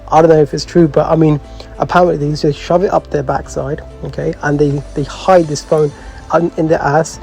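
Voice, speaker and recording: A man's voice: on the high side, creaky, dark tone. A man hosting a casual vlog, telling stories in a slangy, sweary, bemused conversational way. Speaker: host. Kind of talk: casual chat, storytelling. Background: background music.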